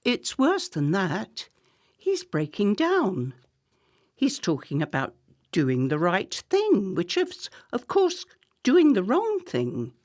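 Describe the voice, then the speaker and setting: A woman acting a discreet, ambitious campaign manager in a radio play, controlled, deferential and deliberate. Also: medium pitch, wildly swooping pitch, darkish tone, full character voice. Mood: troubled, weary.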